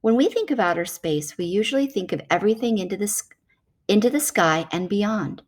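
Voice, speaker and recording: A woman reading a nonfiction children's book aloud in a measured, explanatory way. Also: medium pitch, lively intonation.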